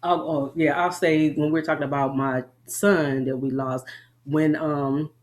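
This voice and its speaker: A woman's voice: low-pitched, fairly even intonation, a little slow. A middle-aged woman with a Southern US accent, an army veteran talking candidly and at length in an interview, often laughing. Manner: rambling, frank, laughs often.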